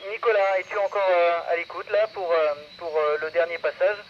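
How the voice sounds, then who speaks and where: A man casually hosting an amateur radio net, speaking in halting, hesitant phrases into a radio microphone. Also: high-pitched. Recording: muffled radio audio with background noise.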